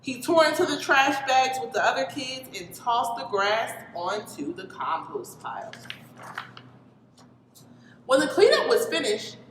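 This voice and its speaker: A woman reading a children's story aloud in an animated storytelling style. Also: high voice, measured pace, faint music behind. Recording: reverberant hall with background noise.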